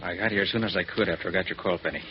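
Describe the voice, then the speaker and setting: Man as a low-voiced, confident radio detective. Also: close to an everyday voice. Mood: brisk, assured. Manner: brisk, assured. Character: confident, wry detective in charge. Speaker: detective hero. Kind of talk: old radio drama acting. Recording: muffled, noisy vintage radio recording.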